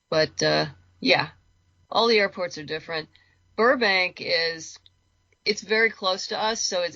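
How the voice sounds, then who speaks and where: A woman's voice: fairly low pitch, gravelly, bright, forceful tone, no echo, lo-fi sound. A woman chatting casually as a podcast guest, talking in a rambling, conversational way and repeating words for emphasis. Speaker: guest, comics and audio drama creator.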